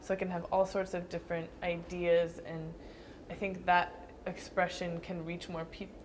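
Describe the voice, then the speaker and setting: A young woman dancer answering interview questions thoughtfully, with frequent pauses and restarts. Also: lowish voice, little pitch movement, a little hiss or hum. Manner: thoughtful, hesitant with fillers and restarts.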